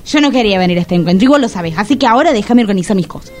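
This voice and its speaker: A woman's voice: mid-range voice, expressive, racing, a little mellow, lightly acted. An actress playing a sulky, irritable thirty-something woman in a comic radio play. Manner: curt, irritable. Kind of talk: radio comedy drama acting.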